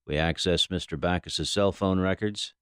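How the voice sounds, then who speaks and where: An actress playing a stern, businesslike FBI agent in an audio drama, questioning in a formal, clipped manner. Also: female voice, extremely low pitch, normal speed, fairly bright tone, clear, resonant tone, dry recording, clean studio sound. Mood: probing, official.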